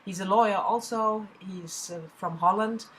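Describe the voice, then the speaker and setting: A woman's voice: mid-range voice, normal speed, a little hiss or hum, poor audio. A middle-aged woman from southwest Germany with a German accent, chatting casually to camera as a book vlogger, with frequent fillers and restarts.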